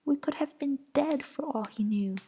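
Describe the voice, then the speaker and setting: A woman voicing a furious, shrill young witch, whispering her lines in a higher pitch. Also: moderate pace, dark tone, slight background noise.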